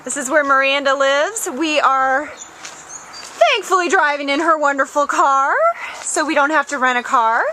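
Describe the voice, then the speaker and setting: A woman's voice: high voice, a little slow, background noise. A woman narrating a road trip on camera in a chatty, upbeat way.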